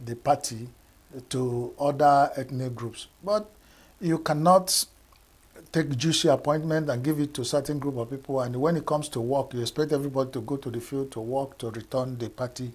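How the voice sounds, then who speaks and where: A man with a Nigerian accent and Igbo as his first language, giving measured political commentary with frequent pauses and fillers. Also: medium pitch, darkish tone, husky. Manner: measured, halting, many fillers and restarts.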